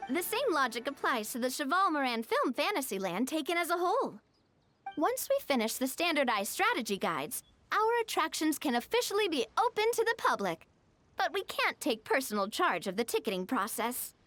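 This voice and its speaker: Game role: cheerful, refined young lady manager, high animated voice, articulate delivery, music underneath. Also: moderate pace. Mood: businesslike, upbeat. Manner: polished, articulate, upbeat explaining. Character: poised, cheerful young noblewoman running a theme park. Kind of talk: video game story dialogue acting. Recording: studio voice over game music.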